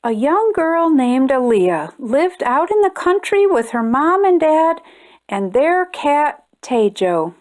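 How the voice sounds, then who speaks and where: A woman hosting a children's storytime, reading a picture book aloud with expressive, sing-song storytelling. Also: high-pitched, takes it slowly. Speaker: storytime host.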